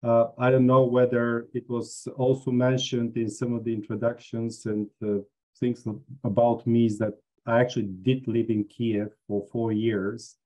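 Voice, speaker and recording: A man's voice: medium pitch, level tone, moderate pace, darkish tone, low-quality recording. A man from Bulgaria, a business school dean, giving an online lecture with frequent fillers. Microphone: video call.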